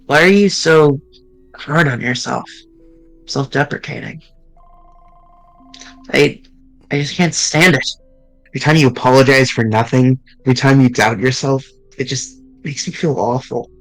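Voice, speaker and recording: Dude reading game lines out loud, earnest and a bit halting, dry close mic, faint music. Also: male voice, medium pitch, fairly even intonation, no room sound, poor audio. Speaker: streamer reading a game aloud. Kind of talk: reading visual novel dialogue aloud.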